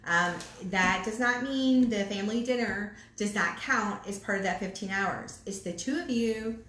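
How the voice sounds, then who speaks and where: A woman's voice: medium pitch, a bit bright. A talkative woman co-hosting a ministry chat about marriage. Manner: chatty, quick, self-described chatterbox. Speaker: ministry co-host. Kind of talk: casual marriage talk. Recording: echoey room, faint background noise.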